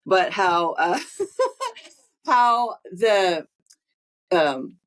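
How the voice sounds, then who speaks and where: A woman's voice: mid-range voice, huge pitch swings, very slow. A woman co-hosting a podcast, chatting casually, wandering off on tangents and laughing often. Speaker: podcast co-host, hospice nurse. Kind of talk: casual interview chat.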